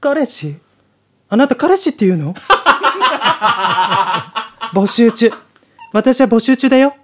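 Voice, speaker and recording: A man playing a flustered, excitable campy heroine in a theatrical comic radio drama. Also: very high voice, slow pace, slight background noise, heavily acted. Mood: flustered, coy.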